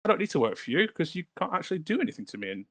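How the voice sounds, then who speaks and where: A man putting on a dopey high-voiced henchman. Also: very animated, rapid-fire, fairly bright tone, no room sound, full character voice. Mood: realizing. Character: dim male henchman waking up, shrugging.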